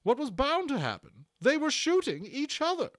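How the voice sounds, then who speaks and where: A man voicing a brisk, indignant little woman nurse in a storybook, scolding and exclaiming. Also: very high-pitched, wildly swooping pitch, no echo, clean studio sound, pitched above the performer's own voice, exaggerated voice. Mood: exasperated.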